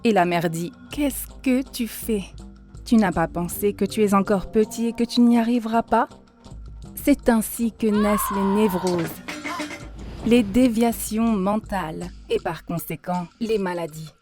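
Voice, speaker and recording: An earnest female teacher figure from a cartoon, acted by a woman, explains things in measured, instructive phrases. Her tone is very mellow and rounded, at a middling pitch, with faint music underneath. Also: close to an everyday voice. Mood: earnest, didactic. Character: female mentor earnestly lecturing on child psychology. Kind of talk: dubbed cartoon dialogue, didactic monologue. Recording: music behind the voice.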